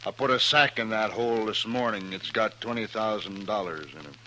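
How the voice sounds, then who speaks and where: A man's voice: fairly low pitch, fairly quick. A man playing a blunt, hot-tempered, stubborn Western rancher father in a 1950s radio western. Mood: grim. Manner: blunt, forceful, folksy Western speech. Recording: noisy old 1950s radio recording.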